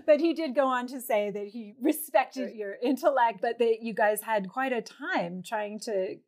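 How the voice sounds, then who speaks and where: An American woman with a fairly high voice hosts an interview. She speaks casually and chattily, putting questions in an informal, conversational way. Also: normal speed, a little mellow. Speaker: interview host.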